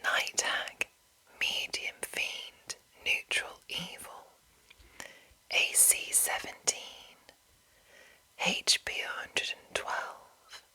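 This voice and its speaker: A woman whispering in a breathy, unvoiced voice as an ASMR host, reading deliberately with soft enunciation. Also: low-quality recording. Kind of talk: whispered ASMR reading of a game manual with casual asides.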